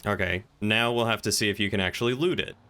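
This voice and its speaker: A man chatting casually during an online game, explaining things in an orderly, measured way. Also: fairly low pitch, pushed and bright.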